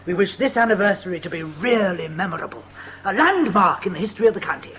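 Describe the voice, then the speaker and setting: A woman's voice: medium pitch, lively intonation, fast, a bit bright, slight background noise, close to an everyday voice, rough recording. A woman playing a gracious, jovial bishop with formal, ceremonious speech in an audio drama. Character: genial, gracious cathedral bishop, warmly formal.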